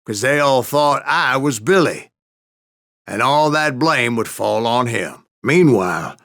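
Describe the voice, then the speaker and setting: An actor playing an old Wild West bounty hunter who tells boastful tall tales in a folksy American Western drawl for a video game. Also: male voice, medium pitch, animated, takes it slowly, a bit bright, no echo, clean studio sound. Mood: boastful, spirited. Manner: folksy, salty, cussing storyteller. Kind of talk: theatrical storytelling narration for a western video game.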